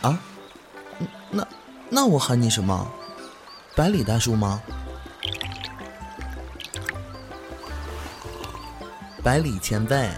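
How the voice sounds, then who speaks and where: A man's voice: medium pitch, very animated, measured pace, over music. A man voicing a cheeky, cheerful young talisman apprentice who talks in a playful, teasing way in an amateur audio drama. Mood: eager.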